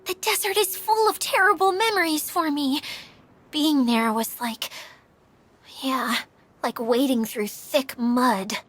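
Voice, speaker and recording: A woman's voice: sits high, slow pace, a bit bright, a little hiss or hum. A woman voicing a timid, emotional, dramatic young girl mascot in a video game. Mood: gloomy. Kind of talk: video game cutscene acting. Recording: background music behind the voice.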